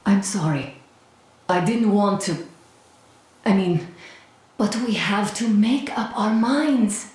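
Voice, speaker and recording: A woman's voice: medium pitch, slow, pauses often, a little mellow, natural voice. A woman voicing an earnest, outspoken young woman in a dramatic video game, speaking directly. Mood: regretful, earnest. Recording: echoey room, clear background noise.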